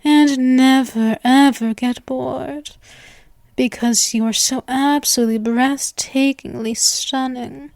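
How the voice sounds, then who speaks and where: A woman's voice: high-pitched, fairly even intonation, takes it slowly, a little mellow, no room sound. A woman voicing an obsessive, doting, gleefully morbid young vampire in an intimate, theatrical ASMR roleplay monologue. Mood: adoring, obsessive. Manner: gushing, theatrical, breathy asides, lovestruck.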